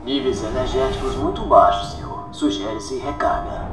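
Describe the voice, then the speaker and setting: A man's voice: mid-range voice, normal speed, roomy sound, far from the mic, natural voice. A man voicing a dutiful armour computer giving clipped, formal warnings in a motion comic dub, music underneath. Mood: cautioning.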